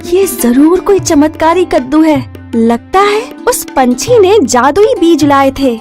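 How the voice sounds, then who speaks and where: A kind young village wife, voiced by a woman storyteller, speaks in a high, very lively voice. She is gentle, respectful and eager, made for a children's cartoon. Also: slow, faint music behind. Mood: excited, amazed.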